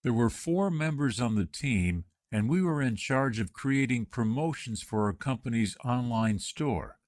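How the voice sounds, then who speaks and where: A man reads a candidate's answers in a scripted job-interview practice dialogue. His voice rises and falls a lot, and his delivery stays clear and measured. The recording is close and dry. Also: medium pitch, lively intonation, a little mellow, dry recording.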